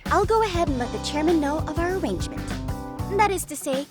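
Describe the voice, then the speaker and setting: A woman voicing a confident, articulate, slyly scheming high school club president in a video game, formal and teasing. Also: lively intonation, fast talker. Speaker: student club president character. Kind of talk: English game voice acting. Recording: loud music behind the voice. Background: background music.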